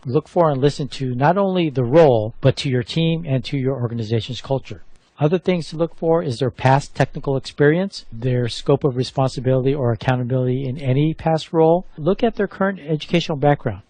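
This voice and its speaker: A man presents a training course in a mellow, relaxed voice at a middle pitch. He explains methodically, laying out his points in order like an experienced hiring instructor. The sound is dry, with no room around it. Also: a little fast, no room sound.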